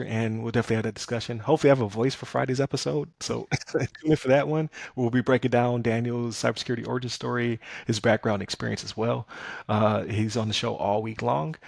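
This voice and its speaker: Gravelly-voiced male podcast host. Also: medium pitch, no echo, clean studio sound. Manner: conversational, many fillers and restarts. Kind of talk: casual podcast discussion.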